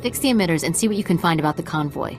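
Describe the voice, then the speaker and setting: An actress playing a principled, no-nonsense military officer in a video game, direct and businesslike. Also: female voice, low-pitched, lively intonation, racing, soft background music. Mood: brisk, concerned. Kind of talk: dramatic video game acting.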